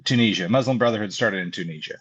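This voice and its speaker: Male co-host, mid-pitched, halting and filler-heavy, muffled lo-fi recording. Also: husky. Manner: hesitant, many restarts and fillers. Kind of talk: political discussion. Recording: dull lo-fi sound.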